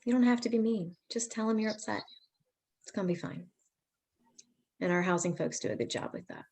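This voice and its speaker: A woman's voice: medium pitch, brisk, darkish tone, husky. A woman hosting a virtual parent information session, talking in a friendly, chatty, reassuring way over a video call. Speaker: pre-college program staff host.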